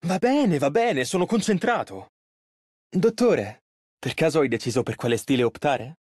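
A man's voice: on the high side, sing-song, normal speed, darkish tone, husky, no room sound, studio quality. An actor playing a polite, earnest and easily flustered young male tailor in an Italian game dub. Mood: earnest, focused. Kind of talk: video game character voice lines, Italian dub.

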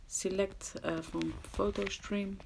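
A woman's voice: mid-range voice, fairly even intonation, takes it slowly, vocal fry, a little mellow, a little hiss or hum, low-quality recording. A woman with a Dutch accent giving a casual, hesitant crafting tutorial, often dropping to a murmur. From Netherlands. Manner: hesitant, self-deprecating, drops to murmurs. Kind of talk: casual screen-recorded tutorial. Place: at someone else's home.

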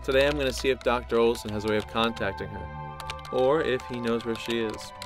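An actor playing a grave, concerned male scientist in an audio drama, speaking in a measured, formal way. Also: mid-range voice, fairly even intonation, over music, close to an everyday voice. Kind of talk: audio drama acting, opening with a dictated log.

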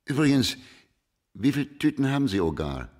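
An actor playing a terse, probing private detective in a radio crime drama, with clipped questions. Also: male voice, medium pitch, sing-song, moderate pace, darkish tone. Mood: businesslike. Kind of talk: German radio play acting.

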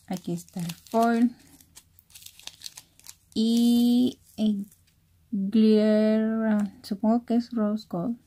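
A woman's voice: mid-range voice, fairly even intonation, extremely slow, long pauses. A young woman with a Mexican accent chatting casually as she shows craft items, keeping her voice down. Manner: soft-spoken, keeping voice down because others are sleeping. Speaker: craft vlogger. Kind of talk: casual chat, narrating an unboxing. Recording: noisy room with handling rustle and crinkling. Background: clicks, crumpling and crinkling of packaging.

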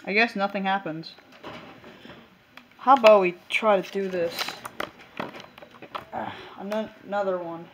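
A woman's voice: mid-range voice, unhurried, pauses often, heavy background noise. A woman narrating a casual hobby demonstration in an offhand way, half talking to herself, on a handheld camera in a room at home. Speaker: video maker. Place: small room at home, indoors. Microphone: handheld camera mic.

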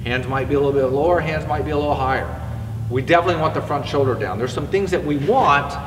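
A middle-aged man coaches hitting in a relaxed, chatty way, his voice sitting a little high for a man. He stands back from the camera inside a large indoor batting cage. The room gives a long, hollow echo, with a faint hum of ambient noise underneath. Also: quick pace. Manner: conversational, demonstrating with a bat while he talks. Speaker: hitting instructor. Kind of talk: spoken coaching lesson to camera. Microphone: far camera. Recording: echoey indoor facility, distant mic.

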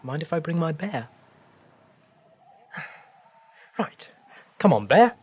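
An actor playing a naive, petulant alien travelling companion in an audio drama, with a sulky, whinging manner. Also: male voice, on the high side, big pitch swings, very slow, breathy edge, muffled recording, full character voice. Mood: uncertain.

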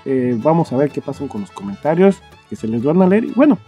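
A man's voice: on the high side, very animated, fairly quick, mellow. A man giving a casual, rambling introduction as a podcast host. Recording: faint music behind. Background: faint music.

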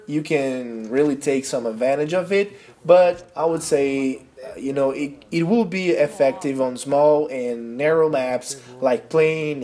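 Brazilian man, slightly high voice, casual gaming guide. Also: darkish tone, slight background noise. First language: Portuguese. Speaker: video game guide creator. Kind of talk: casual tutorial narration over gameplay.

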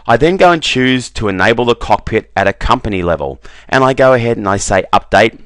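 Man, low voice, dry close sound, relaxed screen-recording walkthrough with filler words. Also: no echo. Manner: relaxed, repeats "very, very" and fills with "uh". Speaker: software demonstrator.

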